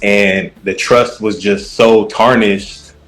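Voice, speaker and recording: Hesitant man in his thirties, fairly low voice. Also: steady tone, slow pace, fairly bright tone. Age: 30s. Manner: hesitant, filler-heavy, evasive. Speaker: contestant on a dating show.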